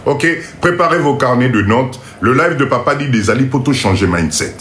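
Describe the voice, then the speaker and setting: A man with a Congolese French accent speaks in a lively, swinging pitch, congested from a cold. He hosts a motivational live stream, urging listeners with emphatic repetition. The room echoes and there is faint noise behind him. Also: moderate pace. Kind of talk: motivational live talk to viewers. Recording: roomy echo, faint background noise.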